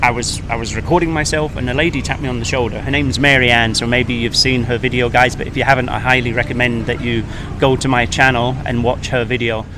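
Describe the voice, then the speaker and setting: Brief: man in his fifties, blended English-Australian accent, mid pitch, easy chatty storyteller, noisy street behind. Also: steady tone, quick pace, few pauses. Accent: mixed English and Australian. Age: 50s. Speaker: guest. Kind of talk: casual interview chat. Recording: noisy background with traffic.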